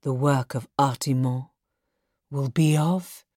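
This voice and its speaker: A pompous, vain art teacher from a children's story. The voice is low and measured, lingering slowly over grand, mangled words with theatrical self-importance. The sound is close and dry. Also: female voice, fairly even intonation, darkish tone, dry recording, heavily acted. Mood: smug, grand. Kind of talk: children's audiobook character acting.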